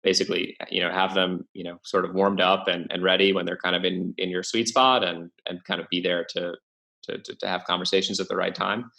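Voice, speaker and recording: Young male guest, low and gravelly, halting with lots of ums. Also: flat delivery, sharp tone, poor audio. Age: young adult. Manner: hesitant, many fillers and restarts. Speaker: podcast guest, venture investor. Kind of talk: casual interview talk.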